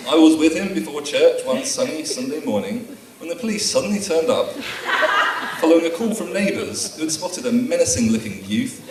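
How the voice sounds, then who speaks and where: A man's voice: on the high side, animated, moderate pace, noisy background. A man giving a best man's speech at a wedding, with dry, teasing humour read from his prepared notes. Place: wedding reception room. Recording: echoey room taped from far off.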